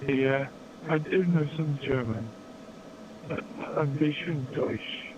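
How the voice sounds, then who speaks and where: English ham operator, man, slow and halting, somewhat high voice, noisy signal. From England. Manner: slow, hesitant, many ums. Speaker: radio amateur. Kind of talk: ham radio contact. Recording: muffled noisy radio audio.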